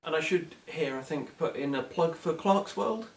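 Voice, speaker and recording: A man co-hosting a casual book chat, giving recommendations in a hesitant, measured and thoughtful way. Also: a little high, animated. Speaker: book reviewer co-hosting short fiction recommendations. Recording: echoey room, distant from mic.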